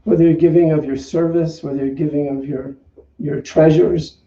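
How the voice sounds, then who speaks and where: A male minister giving an unscripted offering talk and leading affirmations, speaking reflectively and haltingly with pauses. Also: on the high side, fairly even intonation, warm tone. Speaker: minister leading an online service. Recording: dull, echoey, far from the mic.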